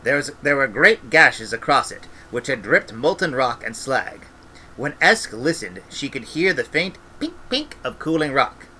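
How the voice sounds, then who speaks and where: Very bright-voiced man narrating a story. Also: medium pitch, sing-song, measured pace, a little hiss or hum. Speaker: audiobook reader, former radio presenter.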